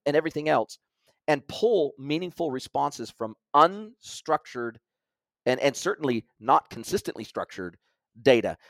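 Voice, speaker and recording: Male interview guest, mid-pitch, long technical explanations with frequent restarts, dry close recording. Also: no room sound. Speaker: guest expert. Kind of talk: conversational interview.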